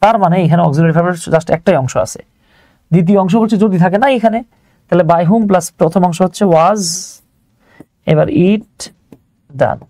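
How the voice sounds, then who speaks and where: A man's voice: sits high, normal speed, pauses often, warm tone, no echo. A man with a Bangladeshi accent teaching a live online grammar lesson step by step, informal and chatty, often putting questions and answering them himself.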